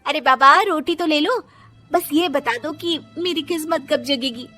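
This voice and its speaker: A woman's voice: high-pitched, unhurried, bright, forceful tone, faint music behind, voice pushed up. A woman voicing a forgetful, naive young daughter-in-law in a whiny, chatty, pleading manner for a comic story.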